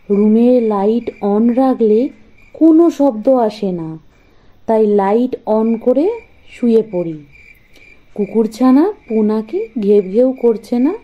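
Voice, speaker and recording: A young woman narrating a first-person horror story in a slow, tense, fearful way. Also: a little high, dull audio.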